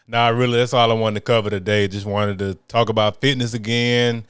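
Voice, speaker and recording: A man's voice: fairly low pitch, steady tone, moderate pace, fairly bright tone, smooth, no echo, studio quality. A man hosting a podcast, talking in an upbeat, slangy, conversational way. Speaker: podcast host. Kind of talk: casual podcast talk.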